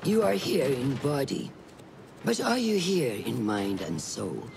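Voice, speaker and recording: An actor playing a stern, wise master of hidden assassins in a video game, with a measured, instructive manner. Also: male voice, medium pitch, wildly swooping pitch, gravelly, music in the background, full character voice. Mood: probing, grave. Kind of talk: dramatic video game acting.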